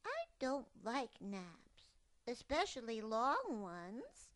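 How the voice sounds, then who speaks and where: A woman's voice: fairly high pitch, huge pitch swings, extremely slow, a bit bright, voice pushed up, exaggerated voice. A woman playing a bouncy little bear cub. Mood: fretful. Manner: playful, wide-eyed and questioning. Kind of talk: storybook character voice.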